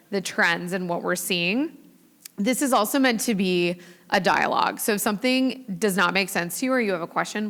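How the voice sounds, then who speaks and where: A woman running a social media agency, giving a chatty, upbeat conference talk with a loud voice and quizzing the audience. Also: mid-range voice, creaky. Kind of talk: conference presentation. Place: large event hall with live audience. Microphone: handheld or lapel mic. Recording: long hall reverb.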